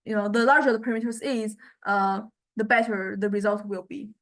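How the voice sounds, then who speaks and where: A woman giving a step-by-step software tutorial as a lecturer, hesitant and halting, often checking in with listeners. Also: mid-range voice, steady tone. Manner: hesitant, halting delivery with repeated check-ins.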